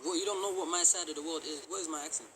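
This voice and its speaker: A man's voice: fairly high pitch, racing, a bit bright. A man with a London accent calling into a live audio room, answering in a defensive, dismissive way. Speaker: caller.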